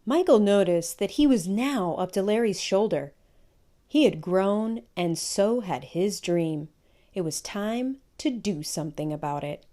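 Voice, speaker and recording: Lady reading a kids book out loud, mellow, expressive, measured storyteller. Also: mid-range voice. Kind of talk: children's picture book read-aloud.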